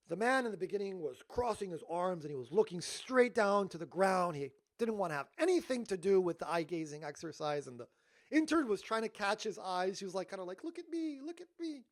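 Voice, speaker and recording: A man giving a storytelling talk as a workshop leader, animated but dropping to hushed tones at emotional moments. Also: high-pitched, no echo.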